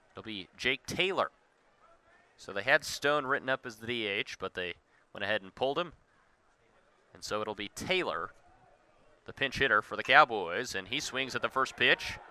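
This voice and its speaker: A man's voice: mid-range voice, bright, forceful tone, smooth. A young man calling a game live as a play-by-play sports announcer. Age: young adult. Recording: noisy arena and ballpark ambience. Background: sports venue noise, bouncing basketballs.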